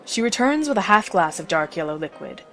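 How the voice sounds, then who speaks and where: A woman's voice: fairly low pitch, sing-song, fairly quick, fairly bright tone, faint music behind. A woman narrating a scripted story as the narrator of an audio drama.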